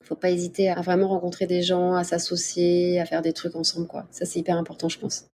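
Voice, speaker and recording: A middle-aged woman from the Paris region answering interview questions in a casual, explanatory way with hesitant pauses. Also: on the low side, completely flat delivery, dark tone. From Paris region, France. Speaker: interview guest, dolphin researcher. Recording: clear background ambient noise.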